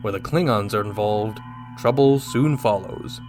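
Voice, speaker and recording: A man's voice: lowish voice, unhurried, a little mellow, soft background music, close to an everyday voice. A man playing a dashing, self-assured starship captain in a radio drama, speaking with confident command. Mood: calm, wary.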